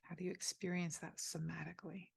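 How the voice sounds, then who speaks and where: A woman leading a guided meditation, speaking softly and gently inviting the listener, with pauses between phrases. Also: on the low side, flat delivery, vocal fry, slightly rough, dry recording. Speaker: meditation teacher.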